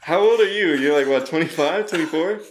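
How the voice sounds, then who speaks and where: A man's voice: on the high side, fairly quick, echoey room, very noisy. A young man from Canada, a comedian and actor, chatting casually as a podcast guest, laid-back and full of fillers. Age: young adult. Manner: laid-back, laughs often, lots of fillers.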